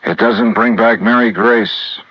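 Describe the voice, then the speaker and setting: A man's voice: fairly low pitch, fairly even intonation, measured pace. A man playing a shrewd, tough insurance investigator in 1950s radio noir style, with a clipped American delivery. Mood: somber, weary. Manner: clipped, hard-boiled. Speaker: lead actor of a radio detective series. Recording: muffled old radio recording.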